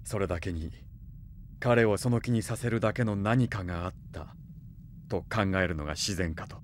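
An actor playing a calm, polite court wizard advisor with a measured manner in an anime audio drama. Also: male voice, sits low, a little hiss or hum, lightly acted. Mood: calm, serious.

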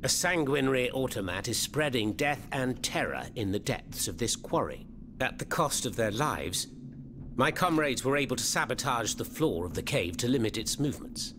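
An actor playing a fervent, florid French revolutionary in a video game, speaking with a French accent. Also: male voice, mid-range voice, animated, moderate pace, noisy background, natural voice. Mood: grave, explanatory. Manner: theatrical, florid, eloquent. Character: theatrical, fervent male French revolutionary hiding underground. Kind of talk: video game cutscene acting.

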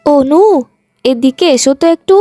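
A patient young village sister-in-law, played by a woman in a cartoon story. Her high voice is polite and coaxing, recorded dry with music behind. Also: moderate pace, mellow, no room sound, close to an everyday voice. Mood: patient, pleading. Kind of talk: cartoon moral-tale voice acting.

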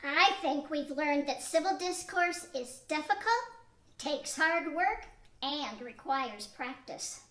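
A woman's voice: sits high, lively intonation, slow pace, fairly bright tone, exaggerated voice. An older woman playing Marge, an earnest, practical wife, reading steadily from a script in an amateur radio play. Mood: calm. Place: studio room with a cloth backdrop. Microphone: stand mic on a tripod. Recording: echoey room.

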